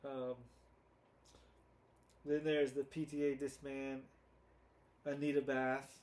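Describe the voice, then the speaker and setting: A man hosting a casual, unscripted fan commentary video, halting and rambling as he goes, often correcting himself. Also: medium pitch, monotone, darkish tone. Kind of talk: casual unscripted chat to camera. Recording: noticeable background noise.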